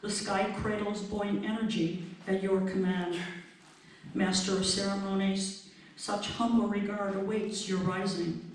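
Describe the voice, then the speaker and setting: A woman reading her own poem aloud in a measured recital. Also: mid-range voice, level tone. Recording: very echoey room, distant mic, faint noise.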